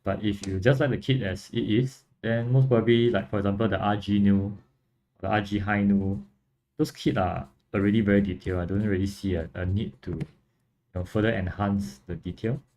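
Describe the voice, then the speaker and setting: A young man from Singapore with a Singaporean accent, casually chatting on a livestream and answering viewers in a relaxed, rambling way. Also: lowish voice, a little fast. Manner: relaxed, rambling, frequent fillers and restarts. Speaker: livestream host and model kit builder.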